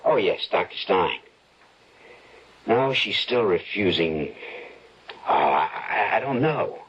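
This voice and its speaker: A man's voice: deep voice, sing-song, slow, fairly bright tone, breathy edge, full character voice. An actor playing a coaxing, protesting husband who may be scheming against his wife, in an old radio suspense drama. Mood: grave, hesitant. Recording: dull, muffled vintage radio recording.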